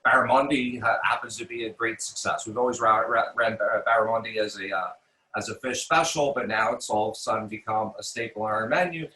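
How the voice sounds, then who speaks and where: A man's voice: lowish voice, a little fast, bright, forceful tone. An American man from New York City talking casually as a chef being interviewed, often repeating himself and restarting his sentences. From New York City, United States. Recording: roomy echo.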